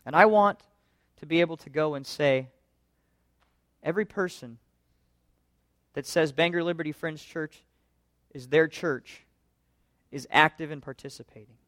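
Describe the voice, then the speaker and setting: A man with a fairly high voice preaches to his congregation, earnest and conversational, asking question after question. The sound is close and dry, with no room around it. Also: measured pace, long pauses, a bit bright, no echo. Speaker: pastor. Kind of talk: sermon.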